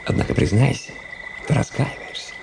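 An actor playing a touchy, self-important young cadet in a radio drama, speaking in an animated, theatrical and pointed way. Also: male voice, moderate pace, heavy background noise, close to an everyday voice. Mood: challenging, excited. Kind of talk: literary radio drama dialogue.

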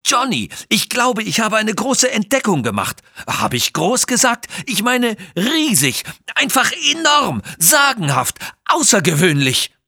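A man voicing a nervous, excitable museum scholar who talks in a breathless, flustered gush for an audio story. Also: sits high, a little slow, hardly any pauses, dry recording, studio quality, voice pushed up, heavily acted. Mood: overexcited.

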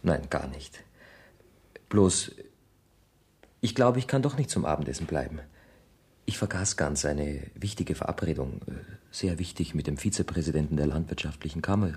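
An actor playing a playful, teasing gentleman in a theatrical 1970s German radio drama. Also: rumbling low, lively intonation, moderate pace, slightly rough, exaggerated voice. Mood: flustered, polite. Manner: theatrical, bantering. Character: playful, teasing gentleman friend of the family, flustered suitor.

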